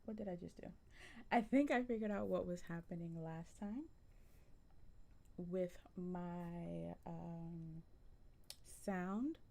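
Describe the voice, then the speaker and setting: Soft-voiced woman with a gravelly edge. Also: fairly low pitch, takes it slowly, long pauses. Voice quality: generally soft voice. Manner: relaxed, many ums, chatty. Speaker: livestreamer. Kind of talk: casual live-stream chat with viewers.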